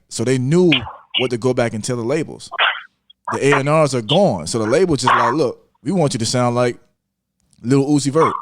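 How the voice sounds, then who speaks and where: A man's voice: medium pitch, background noise. A man chatting casually and opinionatedly as a podcast panelist, restating his phrases for emphasis. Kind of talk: casual panel discussion about hip-hop.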